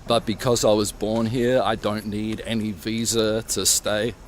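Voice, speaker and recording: A man's voice: little pitch movement, a little slow, a little hiss or hum. A man, born in Hong Kong, chatting casually as he live-streams a walk outdoors at night. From born in Hong Kong, grew up in Australia. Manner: chatty, easygoing, talks to cats in a high voice. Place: rural roads outdoors at night. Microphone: mobile streaming rig.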